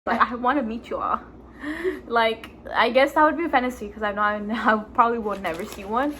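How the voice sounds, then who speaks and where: High-voiced young woman, light Spanish accent. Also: a little fast, a bit bright, slight background noise. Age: young adult. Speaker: guest, best friend of the host. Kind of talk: casual chat.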